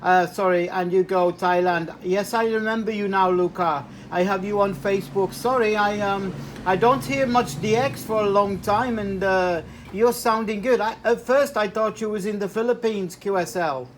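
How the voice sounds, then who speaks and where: A man with a fairly high voice talks casually over amateur radio, hesitating as he goes and dropping in operator jargon. There is faint noise in the recording. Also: steady tone, normal speed, few pauses. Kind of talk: casual two-way radio chat.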